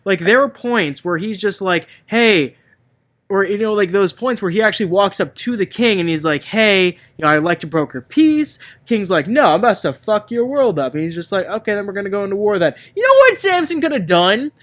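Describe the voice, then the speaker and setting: Animated, sweary man ranting casually. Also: high-pitched, fairly quick. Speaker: podcast co-host. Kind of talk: casual movie-review banter.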